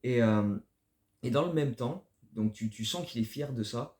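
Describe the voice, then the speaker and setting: A man's voice: medium pitch, animated, unhurried, darkish tone, roomy sound. A man hosting a basketball fan channel, giving casual spoken commentary that rambles and often stumbles over repeated words.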